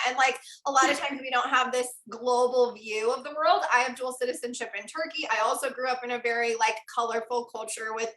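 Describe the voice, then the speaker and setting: A woman's voice: high-pitched, pushed and bright. A woman hosting a podcast interview, chatting in a gushing, filler-heavy way. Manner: effusive, filler-heavy, lots of "like". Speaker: podcast host. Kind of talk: casual interview chat. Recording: echoey room sound.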